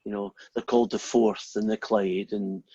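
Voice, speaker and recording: A man's voice: on the low side, flat delivery, moderate pace, mellow, breathy edge, no echo, dull audio. A Scottish man, a maths lecturer, wandering off topic into rambling, self-deprecating stories during an informal class. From Scotland. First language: Scots.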